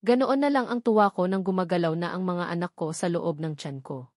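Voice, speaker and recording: A woman's voice: lowish voice, brisk, a little mellow, dry recording, clean studio sound. A woman reading a first-person romance novel as a scripted story narrator. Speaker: audiobook narrator.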